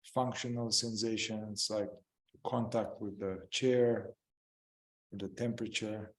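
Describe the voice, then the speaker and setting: A man guiding a meditation in gentle, unhurried short phrases, improvising as he goes and leaving long pauses. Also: lowish voice, fairly even intonation, slight background noise. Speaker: meditation guide. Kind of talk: guided meditation, improvised spoken instruction.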